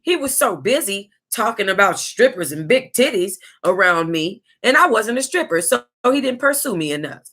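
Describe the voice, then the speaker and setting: Sassy American female book club reader, mid-pitched and very bright, casual and reactive. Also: moderate pace, hardly any pauses, slightly rough. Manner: sassy, animated reactions, breaking into laughter and asides. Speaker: book club host reading aloud. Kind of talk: reading a tell-all book aloud with casual, reactive asides.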